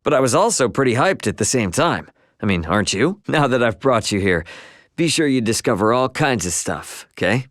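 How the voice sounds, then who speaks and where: A man acting a brash but friendly young spacefaring adventurer in a video game, with a casual, expressive, slangy delivery. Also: mid-range voice, normal speed, no echo, studio quality. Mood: upbeat, friendly.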